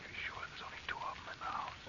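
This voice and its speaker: An actor playing a desperate, guilt-ridden amateur safecracker, hesitant and nervous, in an old radio horror drama. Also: male voice, quick pace, whispered, lightly acted. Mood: tense, uneasy. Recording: muffled, very noisy old radio recording.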